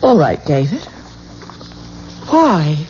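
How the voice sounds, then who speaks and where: An actress playing a strong-willed, pleading wife in a 1970s radio drama, emphatic, searching and melodramatic. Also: female voice, low-pitched, big pitch swings, drawn-out words, dark tone, exaggerated voice. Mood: hurt, pleading. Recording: dull, faintly noisy 1970s radio recording.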